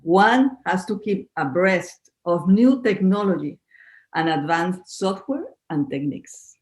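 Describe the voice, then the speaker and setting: A woman's voice: fairly low pitch, measured pace, a little mellow. A woman in her fifties from Venezuela, a native Spanish speaker, answering interview questions in a podcast in an earnest, slightly formal way. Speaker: guest.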